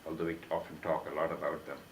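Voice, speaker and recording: Very deep-voiced young man, roomy sound. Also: flat delivery, slight background noise. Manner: slow and considered. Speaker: graduate student. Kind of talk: thoughtful interview answer. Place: indoor room. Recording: roomy echo.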